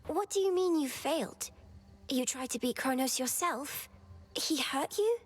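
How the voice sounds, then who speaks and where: An actress playing an earnest, determined young underworld princess in a video game, spirited, with formal old-fashioned phrasing. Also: female voice, high-pitched, animated, a little slow.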